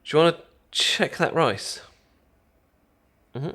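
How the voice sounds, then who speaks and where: A British man playing a caring, chatty best friend in an intimate ASMR roleplay, talking casually with halting, stammering explanations. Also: a little high, animated, bright, forceful tone, heavily acted. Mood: relaxed, instructive. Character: caring, chatty male best friend teaching sushi making.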